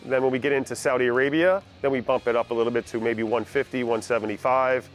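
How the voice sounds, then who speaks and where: A man's voice: mid-range voice, steady tone, fast talker. A man giving a testimonial interview as an audio director. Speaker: audio director for live TV productions. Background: background music.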